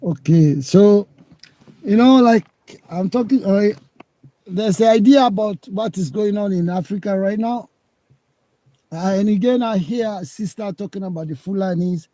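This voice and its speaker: High-voiced man on a call. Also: a little slow, mellow, no echo, low-quality recording. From Sierra Leone. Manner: argumentative, repeats points. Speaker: caller. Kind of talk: live call-in discussion.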